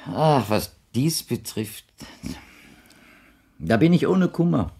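An actor playing a courteous, wistful blind widower in a German radio play, speaking in florid, halting, ceremonious phrases. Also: male voice, mid-range voice, sing-song, dark tone, husky, heavily acted. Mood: plaintive, hinting. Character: blind widowed older man, courteous, wistful, insinuating visitor.

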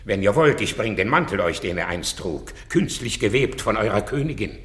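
An actor playing a proud, loyal old warrior, declaiming with passion in a classical radio drama. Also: male voice, on the low side, expressive, moderate pace, fairly bright tone. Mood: pleading, earnest. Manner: impassioned, declamatory.

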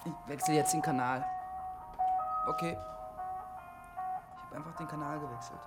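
A man playing a young CB radio loner in his twenties for a radio drama. His voice sits fairly high for a man. He talks haltingly and stubbornly, repeating himself, awkward and quick to defend himself. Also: steady tone, over loud music, natural voice. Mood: withdrawn, flat. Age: 20s. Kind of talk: German radio drama acting.

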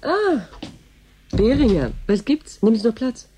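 An actor playing a courteous, inquisitive station superior in a German radio drama. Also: male voice, extremely high pitch, very animated, unhurried, dark tone, a little hiss or hum, heavily acted. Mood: affable, curious.

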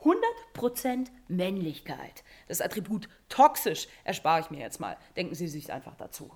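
A woman's voice: lowish voice, very animated, moderate pace, darkish tone. A woman giving opinionated, colloquial commentary with emphatic, sardonic phrasing.

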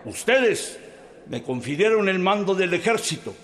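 A grave revolutionary chief in a hall. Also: male voice, sits high, very animated, measured pace, background noise. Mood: solemn. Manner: formal, grave oratory. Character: stern aging revolutionary leader resigning command. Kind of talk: radio drama acting.

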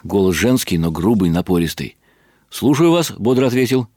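A man's voice: lowish voice, animated, moderate pace, darkish tone, no echo, studio quality. An actor playing a weary, wry middle-aged writer who narrates his own story in ironic inner monologue for a radio drama. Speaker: narrator and lead character.

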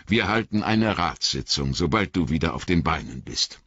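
A man reading an audiobook voices an old wizard who speaks gravely and kindly, slow and measured. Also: a bass voice, expressive, fairly bright tone, dry recording, muffled recording, exaggerated voice.

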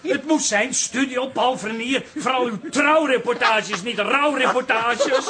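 A man's voice: very high-pitched, a little slow, pushed and bright, exaggerated voice. An actor playing a teasing, easily exasperated brother in a Dutch radio play, sputtering and exclaiming as he talks. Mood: flustered. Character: teasing, exasperated brother, a harried wedding photographer.

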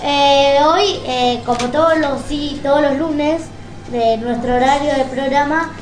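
A woman's voice: high-pitched, unhurried. A woman with an Argentine accent hosting an informal live radio show. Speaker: radio host. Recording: echoey room, music behind. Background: music.

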